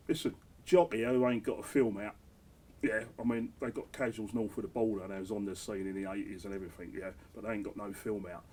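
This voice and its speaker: A man's voice: fairly low pitch, steady tone, brisk, darkish tone, breathy edge. Jokey man interviewing. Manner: jokey, blokey, reads out viewer questions. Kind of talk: casual interview.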